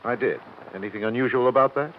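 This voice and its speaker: An actor playing a proud, impatient doctor with a formal, brusque manner in a 1950s radio drama. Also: male voice, medium pitch, fast talker, lightly acted. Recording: muffled, noisy old radio recording.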